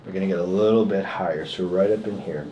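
A man's voice: sits low, fast talker, dull audio. A man working as a chiropractor, giving casual, reassuring hands-on instructions to a patient. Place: small indoor treatment room. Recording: noisy small room recording.

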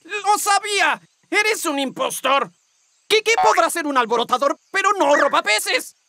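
A woman's voice: very high-pitched, animated, unhurried, sharp tone, no echo, exaggerated voice. A woman voicing an indignant dog fisherman in a children's cartoon, scolding in exclamations with a Latin American Spanish accent. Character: indignant dog fisherman guarding his catch. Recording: music under the dialogue.

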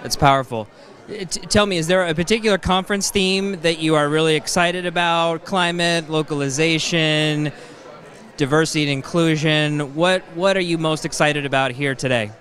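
A man's voice: on the high side, steady tone, normal speed, a bit bright. Upbeat man hosting a conference interview. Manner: enthusiastic, encouraging. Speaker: interviewer for a conference live studio. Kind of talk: upbeat live event interview. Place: conference hall booth, indoors. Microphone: handheld mic.

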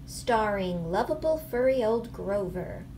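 Female children's storyteller, middle-aged. Very lively intonation, unhurried pace. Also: mid-range voice, slight background noise. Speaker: read-aloud storyteller. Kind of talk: expressive picture-book read-aloud for children. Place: small domestic room indoors.

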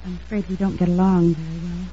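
Casting: actress as courteous young American woman, radio drama, soft mellow tone, muffled noisy vintage recording. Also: lowish voice, little pitch movement, quick pace, lightly acted. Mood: polite, guarded. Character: polite, earnest young woman, a fiancée. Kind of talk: 1950s radio acting.

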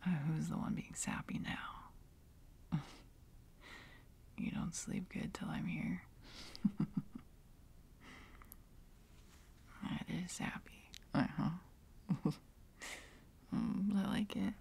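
Woman voicing a tender girlfriend, ASMR roleplay, soft whispering, unhurried slow pace, creaky, sleepy, soothing. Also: fairly low pitch, exaggerated voice. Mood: gently teasing. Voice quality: soft, breathy whisper with some fry. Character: tender, sleepy, teasing girlfriend cuddling her partner.

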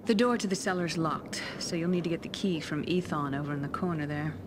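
A woman playing a friendly, concerned tavern keeper in a fantasy video game, confiding and unhurried. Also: low-pitched, background noise, lightly acted.

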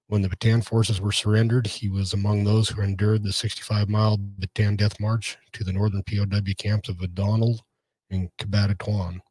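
Middle-aged male host, lowish even voice, close dry mic, informal halting introduction. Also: slightly rough, no echo, low-quality recording. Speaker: podcast host and researcher.

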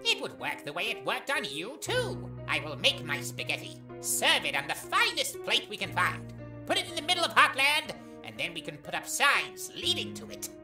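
A woman playing a boisterous, naive, self-assured skeleton in a fan audio drama, with loud theatrical declarations. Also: mid-range voice, huge pitch swings, normal speed, music in the background. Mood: eager, boastful.

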